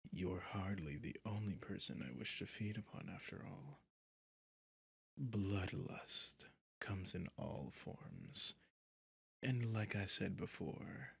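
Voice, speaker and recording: Man playing a slow, gravelly, sadistic vampire prince. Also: no echo, full character voice. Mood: hungry, seductive. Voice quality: low, husky, gravelly. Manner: slow, menacing, often dropping to a whisper. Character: sadistic centuries-old male vampire prince, possessive and menacing. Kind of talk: ASMR roleplay acting.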